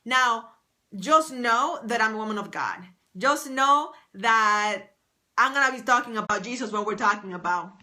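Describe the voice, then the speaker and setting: A woman's voice: on the high side, lively intonation, normal speed, bright, forceful tone. A woman writing coach on a live stream, talking enthusiastically to her viewers, greeting them by name and repeating her points. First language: English. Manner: enthusiastic, repetitive, reads out viewer names.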